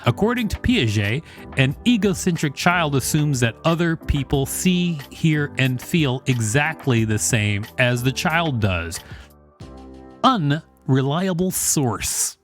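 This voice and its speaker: A man's voice: on the high side, sing-song, slow pace. Male podcast host reading aloud over music. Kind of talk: read-aloud article narration with brief asides.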